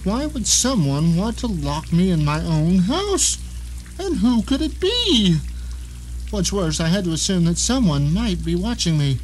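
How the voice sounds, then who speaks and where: Male actor as blind middle-aged prisoner in his own home, arrogant and suspicious; high voice, theatrical first-person suspense drama, noisy backdrop. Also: darkish tone. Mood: anxious, suspicious.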